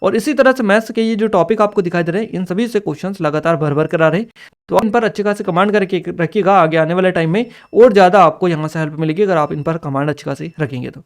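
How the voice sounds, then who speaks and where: A man's voice: sits high, fast talker. A man giving an instructional exam review, reading out questions and answers and listing points repetitively with small check-in tags. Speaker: exam coaching teacher.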